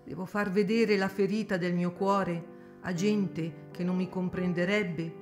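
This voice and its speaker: Woman voicing a gentle wounded young mother, dignified, pleading, radio drama. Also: lowish voice, steady tone, measured pace, soft background music, natural voice. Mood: sorrowful.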